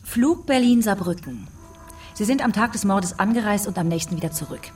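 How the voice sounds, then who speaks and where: An actress playing a dry, hard-nosed police investigator in a radio drama, blunt and terse. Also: female voice, medium pitch, lively intonation, fairly quick, a little mellow, far from the mic, soft background music, natural voice. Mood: confronting. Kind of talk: German radio drama acting.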